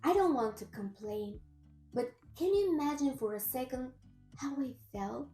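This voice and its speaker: Woman, Bulgarian accent, thirties, high mellow voice, earnest vlog storytelling, roomy echo, faint music behind. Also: lively intonation, moderate pace. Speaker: personal trainer and nutritionist.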